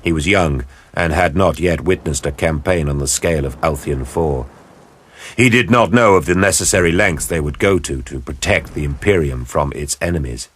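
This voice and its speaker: A man giving grave, measured, dramatic scripted narration for an audio drama. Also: very deep voice, breathy edge.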